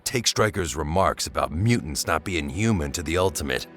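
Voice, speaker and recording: An actor playing a tough, blunt mutant brawler who talks in clipped, folksy slang with gruff fondness. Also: male voice, rumbling low, animated, normal speed, a bit bright, faint music behind. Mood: grim.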